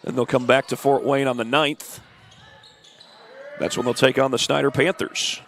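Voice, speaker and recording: A congested man with the flu giving steady play-by-play of a high school girls' basketball game, adding stats and schedule notes. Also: normal speed, a little hiss or hum. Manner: steady, informative play-by-play with stat and schedule asides. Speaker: play-by-play announcer. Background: faint gym ambience, ball bouncing.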